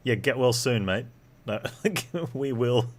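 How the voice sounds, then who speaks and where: A man's voice: mid-range voice, slow. A man streaming a video game, giving casual live commentary as he plays. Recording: game music behind the voice.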